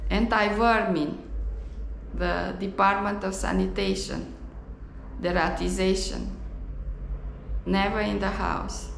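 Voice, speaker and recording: A woman from Romania with a Romanian accent tells a personal story in a halting, reflective way, recorded on an action camera in a roomy space. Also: mid-range voice, creaky. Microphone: GoPro camera. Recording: roomy echo, faint background noise.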